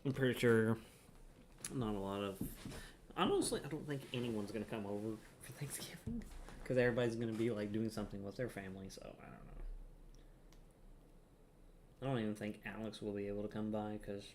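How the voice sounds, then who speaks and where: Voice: medium pitch, a little fast, pauses often, a little mellow, a little hiss or hum. A streamer chatting casually and playfully on a livestream. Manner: playful humming while eating. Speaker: game streamer.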